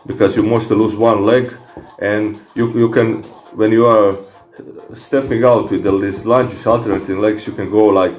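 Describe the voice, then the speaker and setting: A man with a Croatian accent who speaks Croatian natively, casually answering comments from viewers in a fitness vlog, halting with many fillers and restarts. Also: lowish voice, steady tone, a little mellow, reverberant. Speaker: fitness vlogger.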